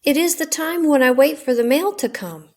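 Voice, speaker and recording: A woman's voice: high voice, moderate pace, voice pushed up. Woman doing a gloomy, plaintive storybook toad. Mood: sad. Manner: plaintive, stubborn. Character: gloomy, grumbling male toad, easily discouraged. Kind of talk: children's storybook read-aloud.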